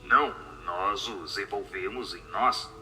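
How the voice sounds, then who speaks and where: A man's voice: deep voice, slow pace, bright, forceful tone, soft background music, voice pushed down, full character voice. A man voicing a solemn, assured spirit who answers questions in a radio drama.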